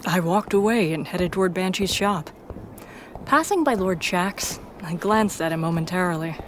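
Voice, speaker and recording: A woman's voice: fairly low pitch, moderate pace, low-quality recording. A woman reading scripted first-person story narration. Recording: faint background noise.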